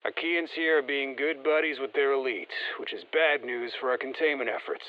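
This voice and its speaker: An actor playing an easygoing special forces operator in a video game, giving colloquial, wry mission instructions over a radio. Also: male voice, medium pitch, normal speed, muffled recording. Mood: wry, focused. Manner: colloquial, wry, plain-spoken.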